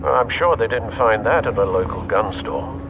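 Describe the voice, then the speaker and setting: An older, devoted butler in a video game. His voice sits fairly low. He is courteous and drily wry, with a note of concern, and clear music plays behind him. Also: expressive, moderate pace, muffled recording. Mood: dry concern. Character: loyal older male butler assistant, dry and concerned.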